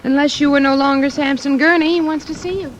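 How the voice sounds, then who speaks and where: A woman acts a brisk, pert office secretary in 1950s radio drama. Her voice is high and fast, with a teasing edge. There is faint noise on the old recording. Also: fairly even intonation, close to an everyday voice.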